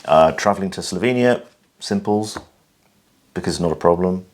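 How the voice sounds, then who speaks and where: A man's voice: deep voice, moderate pace, slight background noise. A British man hosting a casual Q&A, explaining things in a dry, matter-of-fact way with frequent ums and restarts. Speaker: host. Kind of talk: casual conversational Q&A.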